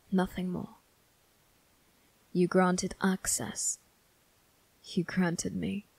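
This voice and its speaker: A woman performs a young male vampire crime boss for an ASMR roleplay, low and gravelly. He speaks slowly in a husky half-whisper, cold and possessive. His manner is measured, mocking and quietly menacing. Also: no room sound, full character voice, low-quality recording. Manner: slow, measured, condescending. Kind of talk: ASMR character roleplay addressed to the listener.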